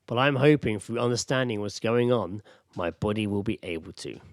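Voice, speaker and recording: Animated British man, dry close sound. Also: fairly low pitch, normal speed, dry recording. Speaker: podcast co-host. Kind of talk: casual comedy chat, reading news aloud.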